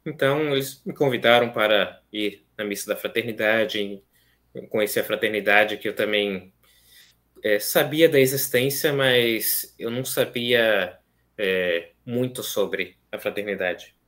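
A young man in his twenties from São Paulo speaks with a São Paulo accent, soft-spoken and hesitant, pausing on fillers. His voice sits somewhat low. He talks over a webcam from a small plain room. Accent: São Paulo Portuguese. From São Paulo, Brazil. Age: 20s. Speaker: seminarian guest. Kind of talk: casual interview, recounting his story. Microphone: video call webcam.

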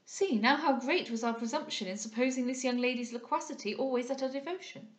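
An earnest young gentlewoman acted by a woman reader with a fairly high voice and courteous, measured phrasing. She belongs to a period novel read aloud in an echoey room. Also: close to an everyday voice. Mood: polite, concerned. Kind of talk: dramatised audiobook reading of a period novel.

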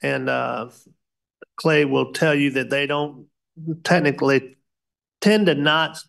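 A man presenting an agenda item at a school board meeting, speaking haltingly with many filler pauses. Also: medium pitch, vocal fry, a bit bright. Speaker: school district administrator.

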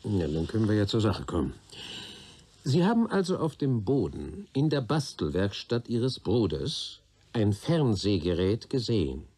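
Probing official, husky timbre, varied intonation, wry, courteous, radio drama. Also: male voice, lowish voice, sing-song, measured pace, mellow, exaggerated voice. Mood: businesslike. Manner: formally polite, wry, inquisitive. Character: shrewd, dry, probing male official questioning witnesses. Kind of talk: German radio play acting.

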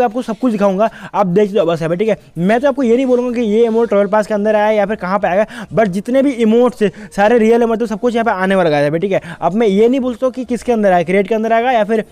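A young man talks through game updates in a casual YouTuber style. His voice is high for a man and fairly soft-edged, and he moves very quickly. He is chatty and leans on repeated tags to check the listener follows. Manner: repetitive verbal tags, chatty. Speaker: gaming YouTuber.

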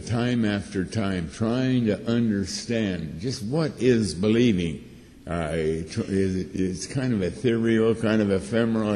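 An elderly man teaching a Bible study from a lectern, reading scripture slowly and deliberately. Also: lowish voice, mellow. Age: older. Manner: slow, deliberate, reads scripture aloud with pauses. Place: church hall with red curtain, at a lectern. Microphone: lectern microphone. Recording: reverberant hall.

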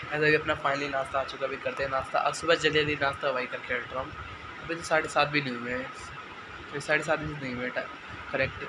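Hoarse teenage boy vlogging at home. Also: mid-range voice, level tone, fast talker, noisy background. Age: teens. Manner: chatty, filler-heavy, addresses viewers as "bhai" and "guys". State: hoarse, sore throat. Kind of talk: casual day-in-the-life vlog. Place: small home rooms indoors. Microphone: phone camera held at arm's length.

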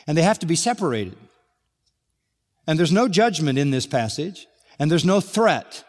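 A man's voice: on the high side, big pitch swings, moderate pace. An older man preaching an expository sermon, earnestly reading scripture aloud and stressing key points as a pastor. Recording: large hall with long reverb.

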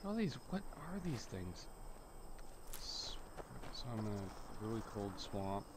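A man giving casual, laid-back gameplay commentary, musing aloud in a halting way. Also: fairly low pitch, big pitch swings, background noise. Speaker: gameplay video host.

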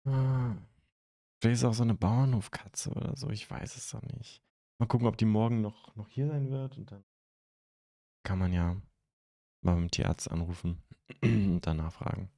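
A young man from Germany hosting a live stream, chatting casually and haltingly as he answers viewer comments into a close microphone. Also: fairly low pitch, vocal fry, dark tone, no echo. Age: young adult. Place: indoor streaming room at home. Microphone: close microphone on a stand.

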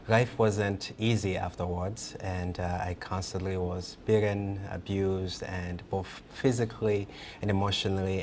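A young man, speaking earnestly as a guest in a TV news studio interview and pausing often on fillers. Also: deep voice, slight background noise. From Rwanda. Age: 20s. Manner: earnest, halting with many fillers.

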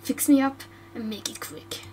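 A woman's voice: a little high, animated, moderate pace, a little mellow, slight background noise, close to an everyday voice. A woman voicing a sullen young female bandit who answers curtly and mutters. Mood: irritable. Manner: curt, sulky, muttering.

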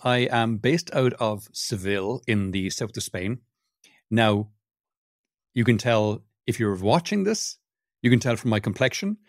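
A man's voice: on the low side, expressive, measured pace, no room sound, clean studio sound. A man from Cork with an Irish accent, a guest chatting casually and self-deprecatingly, often restarting his sentences. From Ireland. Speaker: podcast guest, sustainability consultant. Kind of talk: casual interview talk.

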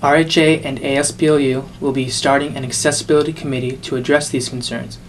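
A man's voice: mid-range voice, level tone, quick pace, a little hiss or hum. A man reading scripted news narration as a reporter.

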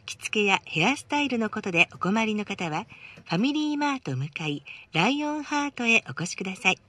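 A woman's voice: mid-range voice, sing-song, a little fast, pushed and bright. A woman reading scripted advertising announcements for a bus, politely and formally.

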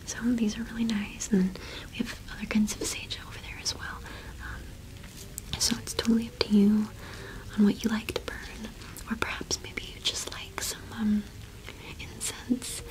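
A young woman doing an ASMR shopkeeper roleplay in a gentle, unhurried whisper with pauses, close to the microphone. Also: a little high, completely flat delivery, rough recording. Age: young adult. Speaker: ASMR performer playing a cottage witch shopkeeper.

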